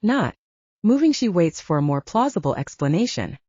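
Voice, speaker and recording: A woman's voice: on the low side, very animated, normal speed, dark tone, breathy edge, dry recording. A woman reading scripted fiction as an audiobook narrator, in a dramatic storytelling style. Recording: dull lo-fi audio, dry.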